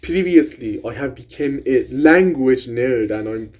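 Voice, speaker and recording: A young man whose first language is Persian, casually explaining grammar in an excited, rambling way with restarts and swearing. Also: medium pitch, a little slow, dull audio. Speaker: language vlogger.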